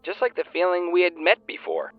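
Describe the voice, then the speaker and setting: An actor playing an earnest, talkative fan-fiction writer, heard over a phone call in an audio drama. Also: male voice, sits high, steady tone, a little fast, faint music behind. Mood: earnest, reflective. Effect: phone call filter. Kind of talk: naturalistic audio drama acting. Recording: thin, band-limited phone line.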